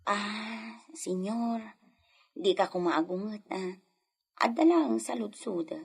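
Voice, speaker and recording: A woman playing a gossipy, probing female servant in an Ilocano radio drama, with a husky voice. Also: medium pitch, lively intonation, slow pace, exaggerated voice. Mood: respectful, chatty.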